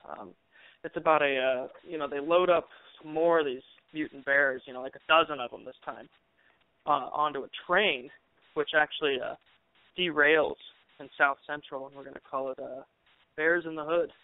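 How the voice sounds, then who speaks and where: A film director from Colorado, talking casually and joking in an interview with plenty of fillers. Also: male voice, fairly high pitch, steady tone, normal speed, no room sound. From Colorado, USA. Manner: joking, talkative, thinks aloud with fillers. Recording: tinny phone line.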